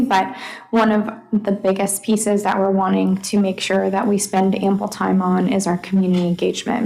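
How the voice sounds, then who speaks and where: Soft-spoken woman presenting a plan. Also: medium pitch, poor audio. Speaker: planning consultant. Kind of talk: presentation to a board.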